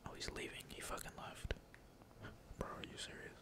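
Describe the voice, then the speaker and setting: Asmr gamer whispering the whole time, breathy, slangy, swears a lot, keyboard typing behind. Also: moderate pace. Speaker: gaming video creator. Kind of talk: whispered ASMR gaming commentary, casual chat. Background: computer keyboard typing.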